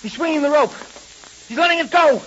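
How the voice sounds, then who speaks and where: An actor playing an excitable, impatient detective's sidekick in a 1930s radio serial. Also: male voice, very high voice, fairly quick. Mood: excited, alarmed. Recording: muffled, noisy 1930s radio recording.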